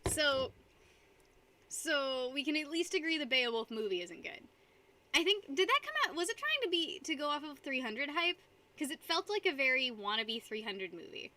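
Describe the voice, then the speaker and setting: A woman's voice: sits high, big pitch swings, normal speed, bright, forceful tone. A young woman streaming live, chatting casually with her viewers and rambling between frequent giggles. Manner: giggly, rambling, reads chat aloud.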